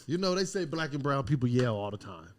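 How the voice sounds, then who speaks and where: A man hosting a podcast, chatty and quick to jump in with affirmations during casual talk. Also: medium pitch, very animated, a crowd in the background. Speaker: podcast host.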